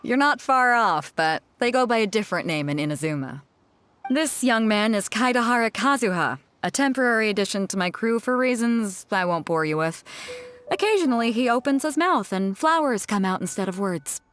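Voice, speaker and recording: A woman's voice: fairly high pitch, animated, normal speed, fairly bright tone. A woman voicing a confident adult ship captain in a video game, talking in a bold, wry and teasing way.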